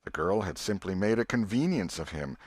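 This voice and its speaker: A man's voice: low voice, lively intonation, fairly quick, a little mellow, husky, no echo. A man reading scripted literary narration as an audiobook narrator.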